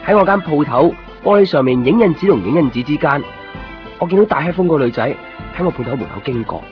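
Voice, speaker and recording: Actor as wistful Hong Kong shopkeeper narrating over music. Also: male voice, a little high, expressive, fast talker, dull audio, natural voice. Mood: wistful, reflective. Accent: Hong Kong Cantonese. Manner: reflective, understated. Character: wistful male neighbouring copy shop keeper narrating his thoughts. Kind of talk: first-person radio drama narration. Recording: band-limited 1990s radio recording over music.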